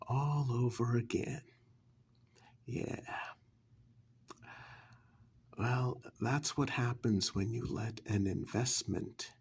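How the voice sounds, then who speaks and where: A man playing a smug, sinister get-rich-quick pitchman in a soft, husky, halting ASMR parody infomercial. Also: full character voice. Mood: irritated. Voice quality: husky, breathy, gravelly. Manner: halting, smug, casually menacing, sweary.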